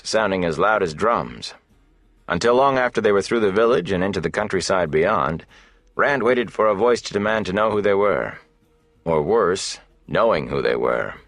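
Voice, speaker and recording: A man's voice: on the low side, fairly bright tone, dry recording. A man narrating a fantasy novel as a scripted audiobook reading.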